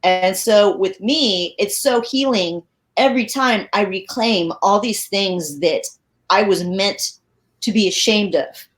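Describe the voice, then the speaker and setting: Slow-spoken thoughtful woman. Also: medium pitch. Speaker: panelist poet. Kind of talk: casual panel discussion. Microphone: video call.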